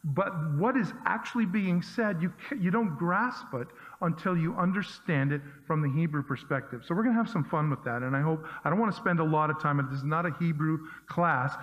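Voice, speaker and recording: A man's voice: fairly high pitch, a little fast, few pauses. A man preaching a sermon as pastor, talking to his congregation in a conversational, emphatic way and firing off punchy rhetorical questions. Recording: dull lo-fi sound with long hall reverb.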